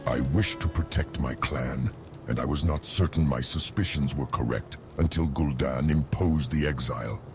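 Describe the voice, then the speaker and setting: A proud, honourable orc chieftain, with a profoundly deep voice. His delivery is solemn and earnest, as in a fantasy game cutscene. Music plays clearly behind him. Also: moderate pace. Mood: solemn, resolute.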